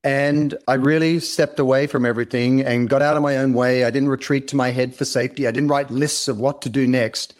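A man's voice: mid-range voice, steady tone, brisk, hardly any pauses. A middle-aged man with an Australian accent, speaking as an interview guest in a polished, earnest storytelling style. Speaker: interview guest, company founder and author.

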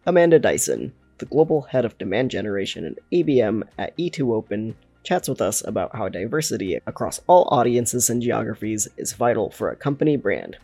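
A man, the show's producer, reading a scripted podcast intro in a smooth, announcer-like way. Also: on the low side, a little mellow, no echo. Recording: dry close sound with faint music behind.

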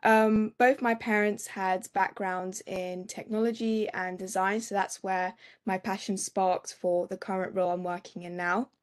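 A woman's voice: mid-range voice, flat delivery, a little slow, hardly any pauses. A young woman, introducing herself as a podcast guest in a chatty way with frequent "um"s. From United Kingdom. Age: young adult.

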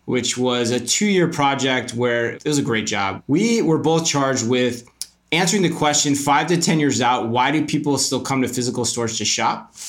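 A man's voice: mid-range voice, normal speed. A middle-aged man speaking as an interview guest, telling his story in a candid, emphatic, talkative way. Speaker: guest, retail media co-founder and commentator.

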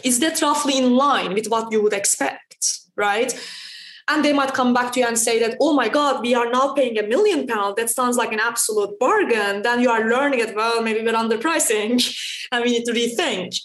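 A young adult woman from Turkey, a startup founder answering podcast interview questions in a fast, emphatic way, often tagging her points with "right?". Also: a little high, fairly bright tone, roomy sound.

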